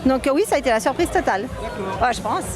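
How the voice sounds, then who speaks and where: A woman's voice: high voice, animated, quick pace. A woman in casual conversation, answering an interviewer's questions informally as one of a party's organisers. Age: 40s. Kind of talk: casual chat. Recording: party music and crowd chatter behind. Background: party crowd chatter and music.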